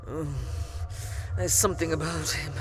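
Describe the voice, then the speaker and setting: A woman playing a possessive, smitten and imperious female dusk elf vampire in a dark fantasy audio drama, theatrical and lingering over her words. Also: low voice, steady tone, a little slow, noisy background. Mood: smitten, intimate.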